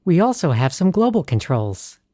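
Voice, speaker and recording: A woman hosting a tutorial, narrating scripted explanations in a clear, explanatory tone. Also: low-pitched, sing-song, moderate pace. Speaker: host.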